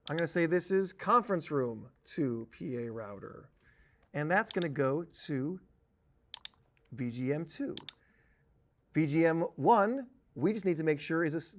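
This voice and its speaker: Man with slightly high voice, casual tutorial. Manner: casual, explanatory, talks through each step. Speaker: software trainer. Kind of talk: conversational instructional tutorial.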